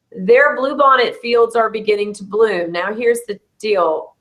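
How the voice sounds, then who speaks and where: A woman, hoarse and losing her voice, hosting a casual bloom-status chat with a friend. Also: mid-range voice, fairly bright tone. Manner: chatty, quick to laugh. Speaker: host of a bluebonnet bloom update. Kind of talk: casual live chat with a co-host.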